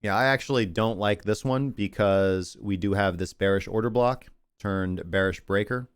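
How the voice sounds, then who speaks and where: Chatty man, slightly low voice. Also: normal speed, clear, resonant tone, no echo, clean studio sound. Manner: chatty, rambling, thanks viewers at length. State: losing his voice. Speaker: crypto livestream host. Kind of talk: casual market analysis and sign-off.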